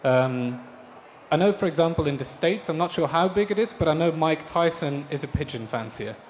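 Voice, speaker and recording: Guy from belgium giving a talk, lots of ums, slightly high voice. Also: normal speed. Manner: relaxed, hesitant with many ums. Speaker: speaker presenting design projects. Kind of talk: conference talk. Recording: reverberant hall with faint noise.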